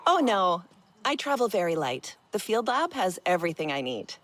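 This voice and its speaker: An actress playing a breezy, practical field scientist in a video game, speaking matter-of-factly. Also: female voice, on the low side, sing-song, normal speed, fairly bright tone. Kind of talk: video game dialogue acting.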